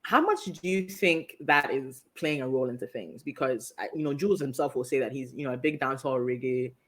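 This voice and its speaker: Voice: mid-range voice, brisk, fairly bright tone. A podcast host from Africa leading a casual interview, talking thoughtfully with frequent restarts. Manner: thoughtful, many restarts and fillers.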